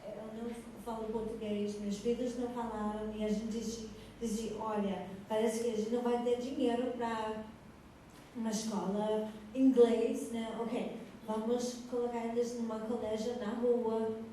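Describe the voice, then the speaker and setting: Lady, slow soft halting portuguese, super echoey hall. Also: a little high, little pitch movement. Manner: simple, halting Portuguese, laughs often. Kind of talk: live Q&A at a youth church service. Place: large church hall. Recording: echoey hall, distant mic, faint noise.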